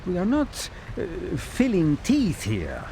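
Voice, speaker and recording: Nervous german scientist from a game, high voice all over the place, pedantic n pleading. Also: male voice, wildly swooping pitch, slow pace, vocal fry, mellow, a little hiss or hum, full character voice. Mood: flustered, impatient. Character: fussy, anxious male Nazi scientist, bookish and cautious. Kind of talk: dramatic game cutscene acting.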